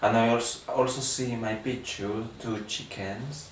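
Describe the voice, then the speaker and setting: A slow, hesitant man, pausing on fillers as he answers exam questions in English. His voice sounds roomy, with a strongly echoing space around it. It is picked up from some distance, with faint background noise. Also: medium pitch, steady tone. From Guatemala. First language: Spanish. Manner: hesitant, with many uh fillers and restarts. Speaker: exam candidate.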